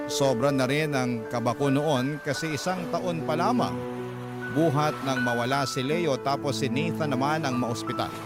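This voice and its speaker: A man telling a sad first-person story as a radio drama narrator, earnest and sorrowful. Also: medium pitch. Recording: music bed under the voice. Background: music.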